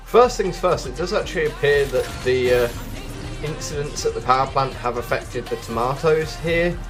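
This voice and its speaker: Guy doing a casual game walkthrough, thinks out loud, mid pitch, music playing behind him. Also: normal speed. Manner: chatty, thinking aloud. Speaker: gaming video host. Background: background music.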